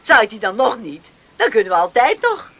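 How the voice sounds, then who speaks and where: A woman acting a caring, sensible farm matriarch in a Dutch radio play, in an expressive, reflective manner. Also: a little high, moderate pace, heavily acted. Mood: amazed, delighted. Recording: noisy radio play recording.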